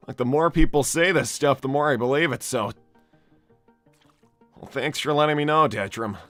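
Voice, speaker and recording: A man's voice: fairly high pitch, a bit bright, dry recording, natural voice. A man voicing an anxious, exasperated young cartoon hedgehog hero in an improvised livestream, stammering and repeating himself as he talks. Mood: resigned. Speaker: live improv role-play host. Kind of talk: improvised in-character livestream, reading viewer messages.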